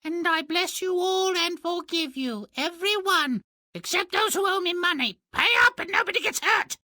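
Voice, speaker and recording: A man's voice: very high-pitched, normal speed, bright, forceful tone, no echo, clean studio sound, full character voice. A man playing a greedy, imperious fisherman's wife, demanding and pompous, in a cartoonish fairy-tale game.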